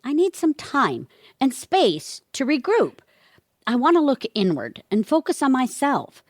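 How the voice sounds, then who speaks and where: A woman's voice: sits high, huge pitch swings, unhurried, dry recording, full character voice. A woman acting in a comedic audio play as a stubborn, frazzled older wife with a dry, defensive, grumbling manner. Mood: earnest.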